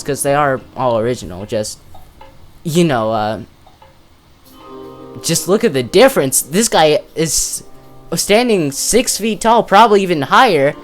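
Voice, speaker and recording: A casual speaker giving unscripted, rambling commentary, thinking aloud with slangy, hesitant phrasing. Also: medium pitch. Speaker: video creator presenting a character ranking. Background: music, plucked guitar.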